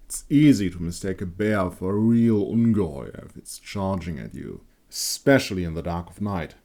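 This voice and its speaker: A man voicing a cocky young monster hunter with a defensive, snarling manner in a dark fantasy audio story. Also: low voice, animated, moderate pace, creaky. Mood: insistent.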